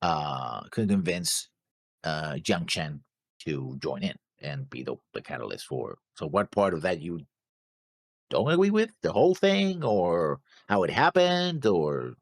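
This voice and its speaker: A man's voice: low-pitched, sing-song, a little slow, no room sound, studio quality. A man co-hosting a reaction show, casually working through theories, insistent but often searching for words. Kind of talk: casual theorizing about an animated series.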